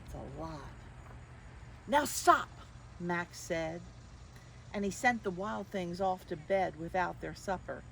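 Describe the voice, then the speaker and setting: An older woman hosting storytime for young children, playful and expressive as she addresses them directly. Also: lowish voice, unhurried, gravelly, a little hiss or hum.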